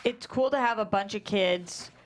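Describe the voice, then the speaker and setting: A woman's voice: mid-range voice, normal speed, rough recording. A woman giving script feedback in a casual workshop discussion.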